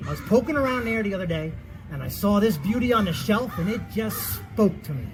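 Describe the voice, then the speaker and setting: A man playing an excitable, defensive husband of fifty-two in a stage comedy, stammering through animated protests. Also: sits high, a little fast. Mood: eager. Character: fifty-two-year-old husband, excitable, defensive, fretting over aging. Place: theatre stage. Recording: noisy live theatre stage recording.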